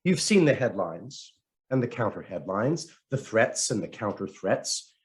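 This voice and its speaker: A man reading a scripted commentary aloud in a dramatic, emphatic way with deliberate phrasing. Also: lowish voice, very animated. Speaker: reader of written commentary.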